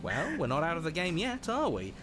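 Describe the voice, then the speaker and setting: An actor playing a cynical, self-serving schemer in a video game, slick and animated. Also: male voice, a little high, fairly quick, very noisy. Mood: sly, defensive. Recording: music behind the voice.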